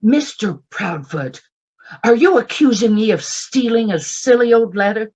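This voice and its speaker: Woman playing a coy, husky frontier flirt. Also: a little high, expressive, measured pace, a little mellow. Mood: coy, taunting. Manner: coquettish, playful, mock-innocent. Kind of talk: old-time western radio drama acting.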